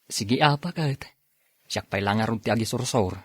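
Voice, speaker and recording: A man's voice: lowish voice, moderate pace, breathy edge, dry recording, clean studio sound. A man acting an easygoing, apologetic husband with an Ilocano accent, wheedling in an amateur audio drama. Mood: sheepish. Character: easygoing, apologetic husband who drinks, pleading and teasing.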